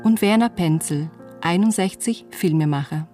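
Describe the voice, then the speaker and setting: A woman's voice: lowish voice, normal speed, dark tone, soft background music. A woman reading scripted titles and names as an announcer.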